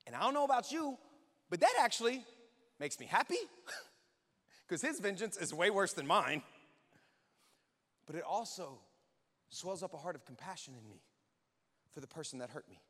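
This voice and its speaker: Male pastor, high voice, informal but emphatic sermon delivery, rhetorical questions, long hall reverb. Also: big pitch swings, moderate pace, long pauses, a bit bright. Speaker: pastor preaching to a congregation. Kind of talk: contemporary conversational sermon. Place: large church hall, speaking to an audience.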